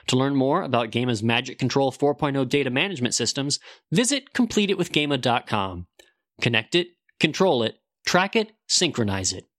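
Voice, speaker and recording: A man's voice: medium pitch, a bit bright, dry recording, studio quality. A man reading scripted promotional narration as an advertisement announcer.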